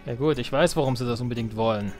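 A man's voice: mid-range voice, fast talker, a little mellow. Man muttering casual gameplay commentary. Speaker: let's play gamer. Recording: game music behind voice. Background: strategy game sounds and music.

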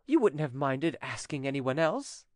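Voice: medium pitch, big pitch swings, measured pace, no echo, clean studio sound. A narrator voicing a timid yet insistent, doting French widow in an audiobook story. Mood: indignant, pleading.

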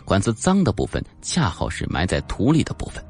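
A man's voice: fairly low pitch, huge pitch swings, brisk. A man narrating a suspense audiobook in a dramatic, scripted storytelling style. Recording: faint background music.

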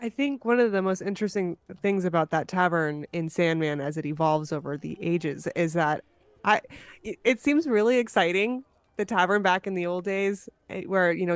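A woman's voice: fairly low pitch, brisk, clean tone, no echo. A woman hosting a podcast in a casual, enthusiastic way. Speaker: podcast host. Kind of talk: casual chat.

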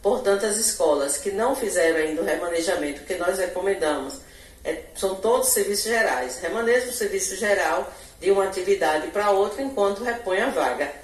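A middle-aged woman with a Bahian Brazilian accent, an education official answering interview questions in a measured, formal way into a handheld TV mic indoors. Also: medium pitch, animated, distant mic. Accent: Brazilian Portuguese, Bahia. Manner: measured bureaucratic explanation, occasional self-corrections. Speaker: municipal education secretary. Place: indoor office in front of a logo backdrop. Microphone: handheld TV reporter mic. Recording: echoey room, voice a bit off-mic.

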